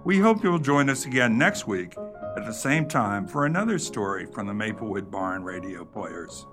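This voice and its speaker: Male host for radio drama outro: scripted, expressive pitch movement, music clearly underneath. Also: moderate pace. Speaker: radio theater announcer and company president. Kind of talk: scripted announcement over music.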